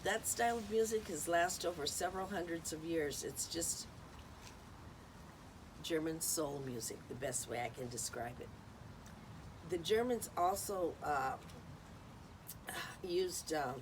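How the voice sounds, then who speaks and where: A woman's voice: sits low, noisy background. A woman hosting an informal talk on music history, rambling and chatty with many ums and uhs. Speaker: host.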